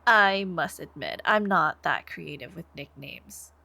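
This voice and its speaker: A woman's voice: on the low side, expressive, sharp tone, exaggerated voice. A woman playing a smitten, sweetly menacing young supervillain stalker in an intimate ASMR roleplay, coy and theatrical, sometimes murmuring. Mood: infatuated, teasing. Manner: coy, unhurried, theatrical, lapsing into breathy murmurs.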